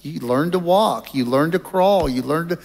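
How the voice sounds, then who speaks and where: Middle-aged male chapel preacher, slightly high voice, deliberate and explanatory, reverberant auditorium. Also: gravelly, a little mellow. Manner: measured, deliberate delivery with pauses. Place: large auditorium stage. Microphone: headset microphone. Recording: reverberant hall sound.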